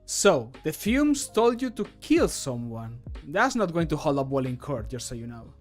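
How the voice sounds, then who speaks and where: A man's voice: a little high, very animated, moderate pace, soft background music. A man performing game voice lines as a wry, dramatic fantasy adventurer, projected and theatrical with dry asides.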